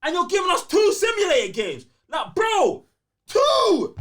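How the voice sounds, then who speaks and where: A high-voiced man with a London accent hosts a casual podcast. His pitch swings wildly as he rants, hammering phrases again and again in heavy slang. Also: wildly swooping pitch, unhurried, a bit bright. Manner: ranting, emphatic, repeats phrases for emphasis, slangy. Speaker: podcast host. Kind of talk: heated casual gaming podcast banter.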